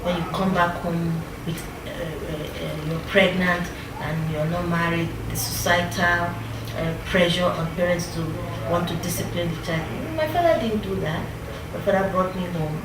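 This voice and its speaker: A Nigerian woman in her fifties speaks in a lowish voice, reflective and unhurried, pausing often between thoughts. She sounds far from the microphone in a roomy space, with clear background noise under her words. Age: 50s. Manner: reflective, unhurried, with many pauses and fillers. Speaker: interviewee. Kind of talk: personal life story in an interview.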